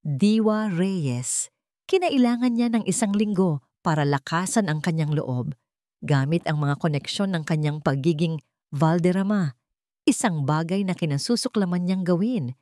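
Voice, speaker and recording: A woman's voice: medium pitch, darkish tone, dry recording, studio quality. A woman reading a scripted, dramatic story as its narrator.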